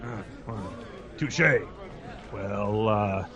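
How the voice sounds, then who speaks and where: A man's voice: on the low side, little pitch movement, drawn-out words, voice pushed down. A man voicing an indignant, argumentative cutthroat in a fantasy tavern sketch, incredulous and quibbling.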